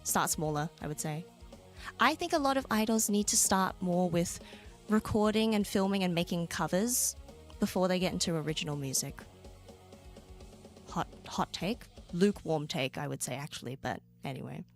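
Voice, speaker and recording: A young Australian woman streamer chatting casually with viewers, laughing often and jumping into high squeals. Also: moderate pace. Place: home room. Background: soft background music.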